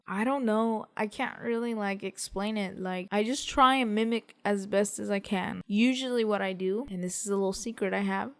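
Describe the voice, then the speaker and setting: A young woman in a chatty, upbeat Q&A vlog, reading out fan questions and answering them. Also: mid-range voice. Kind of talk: casual Q&A chat.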